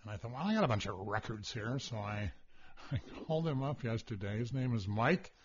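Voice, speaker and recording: A man hosting a casual radio chat show, talking loudly and rambling with stammered restarts and a husky voice. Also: medium pitch, expressive, a little fast. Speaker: radio host. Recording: dull lo-fi radio broadcast.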